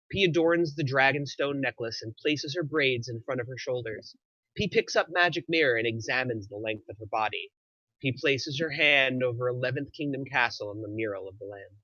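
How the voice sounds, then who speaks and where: Male narrator for a screenplay table read, medium pitch, bright edge, slightly dull recording. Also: no room sound. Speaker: narrator reading stage directions.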